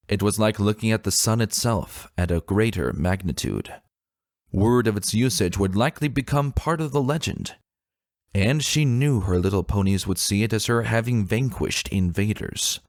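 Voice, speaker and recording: Low-voiced man narrating a dramatic story. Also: no room sound. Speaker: narrator of fan fiction readings. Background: music.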